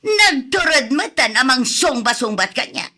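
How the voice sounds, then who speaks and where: Radio drama role: scornful, scolding Ilocano wife and mother, high-pitched, very forceful and sharp. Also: big pitch swings, measured pace, full character voice.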